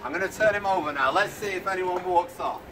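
A man's voice: high-pitched, quick pace. A man in his thirties with a British accent, preaching in the open air on a town pavement and quizzing passers-by directly. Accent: British English. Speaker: street preacher. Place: outdoors on a town-centre pavement. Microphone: far camera. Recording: distant voice with loud street noise.

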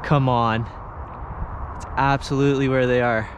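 A man's voice: flat delivery, normal speed. Young man vlogging in the wind. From Canada. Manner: chatty, coaxing the fish, explaining the rig step by step. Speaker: fishing vlogger. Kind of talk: casual outdoor vlog narration. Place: on a river outdoors in wind, fishing from a small float boat. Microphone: action camera mounted on the boat. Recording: action camera audio with wind and nearby highway noise.

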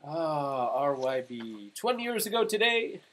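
A man's voice: a little high, very animated, measured pace, a bit bright. A man in his thirties making short, casual remarks as a co-host, prompting someone else. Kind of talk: casual banter. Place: small room at home, indoors.

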